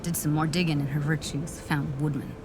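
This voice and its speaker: A woman acting as a shaken young techie in a video game. Her voice is fairly low, clipped and matter-of-fact, amid clearly noisy ambience. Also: fairly even intonation, fairly quick, close to an everyday voice. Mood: grim. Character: shaken, tech-savvy young woman reporting grim findings.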